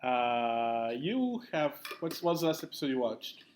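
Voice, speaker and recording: A man's voice: mid-range voice, big pitch swings, measured pace, slight background noise. A young man with a slight Mexican accent, co-hosting a casual podcast and explaining things at length with many fillers. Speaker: podcast co-host. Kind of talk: casual chat.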